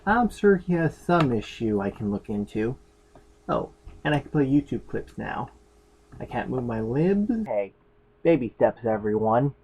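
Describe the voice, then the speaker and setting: A man's voice: mid-range voice, lively intonation, measured pace, muffled recording, a little hiss or hum. A man hosting a fan fiction review, narrating in a chatty, opinionated way with enthusiastic, casual comic asides. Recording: music behind the voice. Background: background music.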